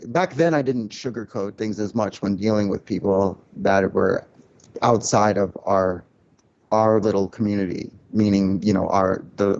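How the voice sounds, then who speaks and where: A man's voice: fairly low pitch, darkish tone, lo-fi sound. A man of Cuban heritage, a record label founder, talking candidly in a casual podcast interview, reflective and full of restarts. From Cuban family, grew up in a loud-talking city.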